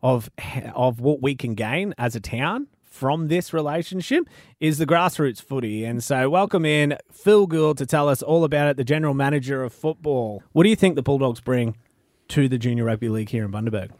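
A man hosting a radio show, blunt and quick to laugh, also interviewing a guest. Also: mid-range voice, darkish tone, no echo, clean studio sound. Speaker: radio host. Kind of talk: breakfast radio banter and interview. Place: radio studio.

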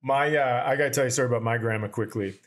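A man co-hosting a casual podcast, mostly dropping short agreements before launching into a quick story of his own. His voice sits at an ordinary middle pitch. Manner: brief interjections, then fast storytelling. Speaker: podcast co-host. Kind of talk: casual chat.

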